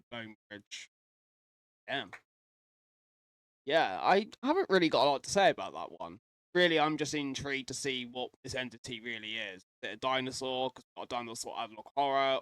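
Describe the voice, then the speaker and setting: A man hosts a casual reaction commentary at an average pitch. He rambles and speculates as he goes, swearing mildly, in a dry recording with little room sound. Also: a bit bright, clear, resonant tone, no room sound. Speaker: reaction video host.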